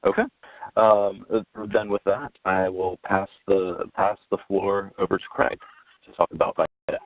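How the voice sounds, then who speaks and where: A low-pitched man talks through slides on a webinar, picking his way along in halting, informal explanations. The audio is muffled and lo-fi, like a conference call, with no room sound at all. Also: little pitch movement, dry recording. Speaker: webinar presenter on tax credit policy. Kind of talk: presenting a slide talk. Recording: dull lo-fi call audio, very dry.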